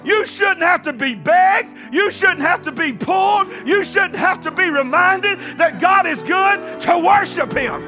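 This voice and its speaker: A man preaches, his voice pitched very high and ringing bright. He moves from conversational teaching into fervent, shouted climaxes, calling out to his congregation. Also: lively intonation, a little slow, hardly any pauses, faint music behind, some chatter behind. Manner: impassioned preaching, shouting at peaks. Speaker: pastor. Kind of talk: sermon.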